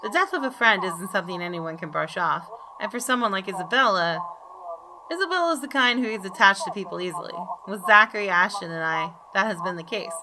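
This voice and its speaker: A woman reading visual novel story text aloud in a measured, expressive, deliberate way. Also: mid-range voice. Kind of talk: dramatic narration of story text. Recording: clearly noisy background.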